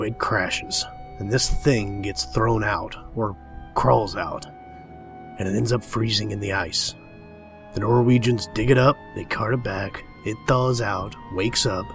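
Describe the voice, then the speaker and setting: A man playing a gruff, wry, plain-spoken team leader at a polar outpost in a horror read-along drama. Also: on the low side, unhurried, a bit bright. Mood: wry, speculating. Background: music.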